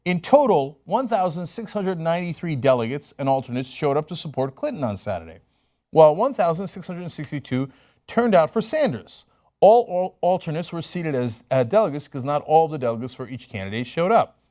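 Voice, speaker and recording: A man gives political commentary in a conversational tone, reading reports aloud and explaining them as he goes. His voice sits a little high and moves around a lot in pitch. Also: sing-song, fairly quick, dark tone.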